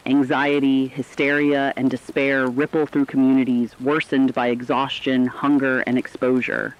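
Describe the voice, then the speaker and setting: A man playing a sombre radio correspondent, giving a scripted disaster report in a serious, formal, measured delivery. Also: medium pitch, close to an everyday voice, rough recording. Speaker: news correspondent.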